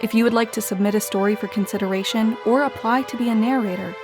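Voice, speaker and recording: A woman's voice: a little high, fairly even intonation, fast talker. A woman reading a scripted podcast outro as the announcer. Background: music.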